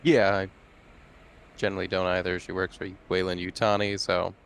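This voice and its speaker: A man at a game table making slow, dry remarks. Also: low voice, little pitch movement, vocal fry, fairly bright tone, clear, resonant tone. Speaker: player.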